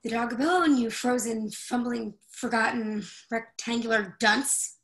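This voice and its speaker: A woman's voice: a little high, fairly even intonation, a little slow, a bit bright, low-quality recording. A woman playing an exasperated professor battling her smart home in an online play reading. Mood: irritated.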